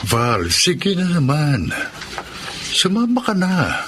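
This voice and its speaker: A man's voice: fairly high pitch, huge pitch swings, slow, loud music behind the voice, exaggerated voice. A middle-aged man with a Filipino accent playing a wheedling, philandering husband in a radio drama. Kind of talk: Tagalog radio drama acting.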